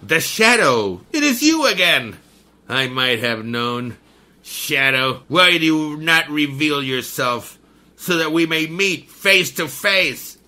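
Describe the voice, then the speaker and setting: An actor playing a menacing male mystic villain in a 1930s-style radio drama, courtly and slow, then threatening. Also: fairly high pitch, sing-song, sharp tone, heavily acted. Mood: agitated.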